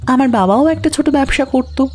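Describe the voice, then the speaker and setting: A young woman playing a sad, resigned widowed mother in an audio drama, speaking haltingly. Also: high voice, dark tone, faint music behind, lightly acted.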